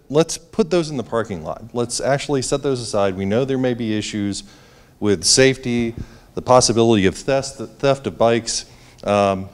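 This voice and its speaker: A man giving a conversational talk with a handheld mic in a library auditorium, speaking to the audience. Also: lowish voice. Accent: New England. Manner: conversational, jokey. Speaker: presenter. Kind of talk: public presentation.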